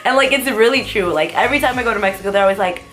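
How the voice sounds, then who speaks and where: A woman's voice: medium pitch, very fast, fairly bright tone, soft background music, rough recording. A young American woman chatting casually through a vlog tag video, rambling and giggling as she answers questions. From United States. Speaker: vlogger.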